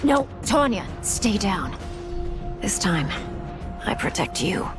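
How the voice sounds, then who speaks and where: An actress playing a bold, protective young princess in a video game, firm and earnest. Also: female voice, medium pitch, sing-song, takes it slowly. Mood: determined. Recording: game music behind voice.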